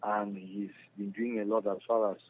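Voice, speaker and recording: A man with a Cameroonian accent answering interview questions in a halting, repetitive, earnest explaining way. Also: lowish voice, flat delivery, a little hiss or hum. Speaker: interview guest, stage actor. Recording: muffled lo-fi radio phone call.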